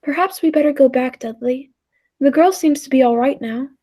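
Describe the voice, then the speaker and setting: A woman playing a gentle, contented time traveler who tells the tale in a measured, wistful way, in 1940s radio play style. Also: sits high, studio quality, natural voice. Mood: concerned. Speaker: amateur drama club actor. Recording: roomy echo with faint music.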